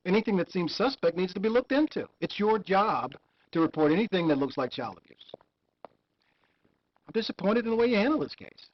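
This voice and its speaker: A man's voice: sits high, sing-song, brisk. A man acting as a stern supervising doctor in a live-action hospital game. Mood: stern, disappointed. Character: stern supervising doctor judging a subordinate's work. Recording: dull lo-fi game video audio.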